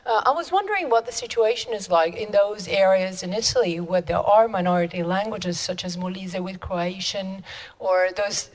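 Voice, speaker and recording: A woman's voice: medium pitch, poor audio. A woman in the audience politely asking a question at a conference. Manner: polite, slightly halting questioner. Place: conference hall.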